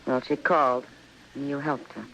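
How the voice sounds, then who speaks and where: Saloon woman for radio western: American, knowing, gently probing, earnest, muffled vintage recording. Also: a bass voice, steady tone, normal speed, a little hiss or hum. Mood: wistful, probing. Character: worried, knowing saloon woman, tender and frank. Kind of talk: old-time radio western acting.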